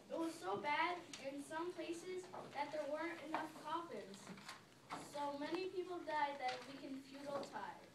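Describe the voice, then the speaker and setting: Very high-voiced know-it-all contestant played by a female amateur. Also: normal speed, close to an everyday voice. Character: Ryan, know-it-all male quiz contestant, matter-of-fact. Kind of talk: amateur readers' theater, scripted quiz show. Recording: echoey, noisy room, distant microphone.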